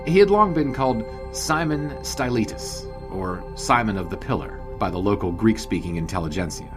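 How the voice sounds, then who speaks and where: A man playing a seasoned old-time sports writer, narrating a scripted history story in a dry, wry way. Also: on the low side. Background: background music.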